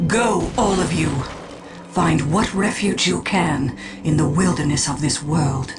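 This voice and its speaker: Solemn, imperious alien seer. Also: female voice, deep voice, a little slow. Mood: grave, resigned. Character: proud, solemn female alien Eldar seer commanding her people. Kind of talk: dramatic video game acting. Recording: music bed underneath.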